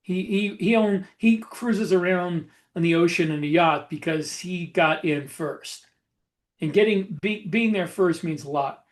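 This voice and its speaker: A man with a noticeably high voice for a male speaker chats casually as a podcast host. He tells his stories reflectively, in a halting way, feeling his way through each thought. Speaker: podcast host and self-published author. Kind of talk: casual podcast conversation about a writing career.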